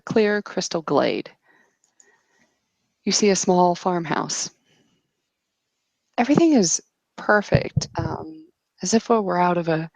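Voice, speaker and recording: A woman narrates a tabletop roleplaying game as its storyteller. Her voice sits a little low and crackles with vocal fry as she feels her way through poetic descriptions. The recording is very dry. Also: a little mellow, no room sound. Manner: poetic, hesitant, searching for descriptions.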